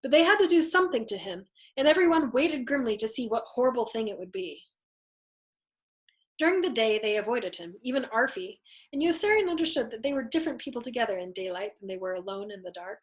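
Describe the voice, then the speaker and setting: A woman's voice: fairly high pitch, very animated, fast talker. A woman narrating a novel as a storyteller, in an audiobook style. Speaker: storyteller reading a novel aloud.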